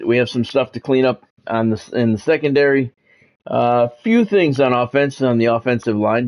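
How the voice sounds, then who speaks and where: A man's voice: medium pitch, darkish tone. A man giving casual, rambling, conversational commentary as he hosts a football video game stream. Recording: dull lo-fi audio with music behind.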